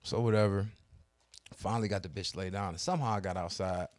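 A man's voice: low voice, steady tone, fast. A young man telling a long, profane story in casual podcast chat, acting out both sides of the arguments. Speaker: podcast co-host.

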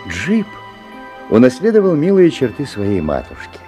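An actor playing a genial father who narrates a story in a 1970s radio play, theatrical, with deliberate pauses. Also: mid-range voice, wildly swooping pitch, mellow. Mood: storytelling, amused. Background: faint music.

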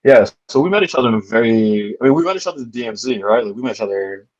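A man's voice: fairly low pitch, lively intonation, very fast, no echo, highs cut off. A man co-hosting a casual podcast, rambling with lots of fillers and repeats. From Canada. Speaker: podcast co-host.

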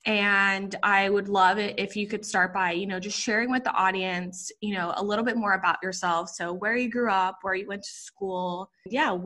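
A woman's voice: medium pitch, steady tone, normal speed, hardly any pauses, sharp tone. A young woman from Puerto Rico hosting a casual podcast interview, chatty and full of asides. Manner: chatty, many fillers and asides. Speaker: podcast host.

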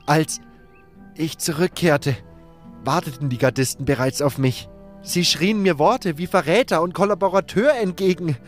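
A man's voice: fairly high pitch, soft background music, voice pushed up, lightly acted. A man voicing a young male mage in an audiobook, panicked and grief-stricken, stammering as he speaks. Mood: shaken, anxious. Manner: stammering, hysterical, halting. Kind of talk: audiobook character acting.

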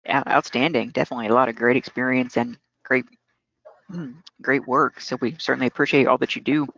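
Fast-talking gravelly male podcast host. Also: mid-range voice, fairly even intonation, bright, forceful tone, dry recording. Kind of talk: interview questions. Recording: dry, dull lo-fi audio.

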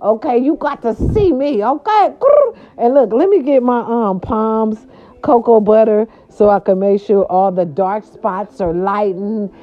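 A grandmother from California talking casually to her viewers, animated and chatty, with salty slang and lots of "right, right" fillers. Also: fairly high pitch, a little slow. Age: older. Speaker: vlogger. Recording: dull lo-fi sound.

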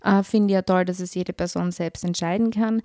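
A woman's voice: fairly low pitch, fairly even intonation, moderate pace, mellow, dry recording, clean studio sound. A woman explaining things conversationally and with emphasis as a podcast guest. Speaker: podcast guest, social worker.

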